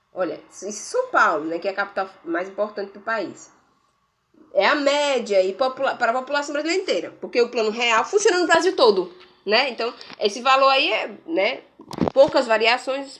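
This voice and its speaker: A woman's voice: fairly high pitch, a bit bright, slight background noise. A woman giving casual political commentary while browsing pages on her screen, sarcastic and rambling, tagging sentences with questions. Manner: frequent "né?" tags, rambling, sarcastic.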